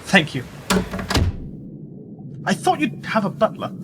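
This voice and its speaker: Actor as a dry, nosy male visitor, short replies, higher voice that moves a lot, loud noise behind. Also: huge pitch swings, slow, full character voice. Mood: polite, probing. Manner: terse, inquisitive, dry. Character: polite, probing male visitor to a wealthy man's house. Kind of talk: radio play dialogue. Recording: loud background noise with clicks.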